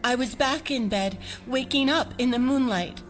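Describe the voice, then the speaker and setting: An actress playing a fragile, haunted abductee in an audio drama, telling her account in a halting, earnest, dreamy way. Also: high voice, faint music behind, natural voice. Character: fragile, haunted woman recounting an alien abduction.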